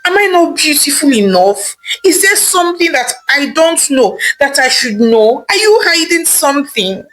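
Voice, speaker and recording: Distraught, pleading young wife, very high and tearful, voiced by a woman. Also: animated, slow pace, fairly bright tone, poor audio. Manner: tearful, pleading, repeats words.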